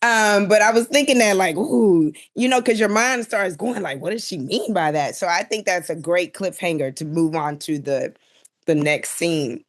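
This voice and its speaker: A woman's voice: lowish voice, normal speed. Colloquial woman chatting as a panelist. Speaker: podcast panelist. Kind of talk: casual chat.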